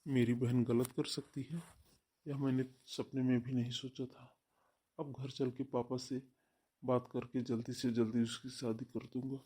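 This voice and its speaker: Man doing an angry bossy big brother, dark mellow voice, scolding, cartoon drama. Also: mid-range voice, little pitch movement, a little slow, breathy edge. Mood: bitter. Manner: scolding, commanding. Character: stern, angry older brother, threatening and domineering. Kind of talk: Hindi cartoon family melodrama acting.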